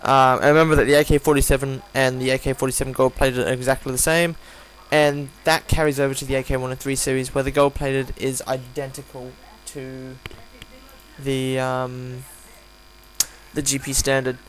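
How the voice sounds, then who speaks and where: A tired man with a croaky voice gives casual, unscripted game commentary, rambling and hesitant as he reviews. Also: medium pitch, level tone, moderate pace, low-quality recording. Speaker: gaming video host reviewing a weapon. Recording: home recording with audible hum, background noise.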